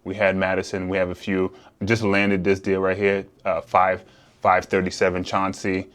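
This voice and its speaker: A man with a deep voice and an American accent speaks casually to a vlog audience. He explains things as a working real estate developer and agent. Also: completely flat delivery, normal speed, a bit bright, clean tone.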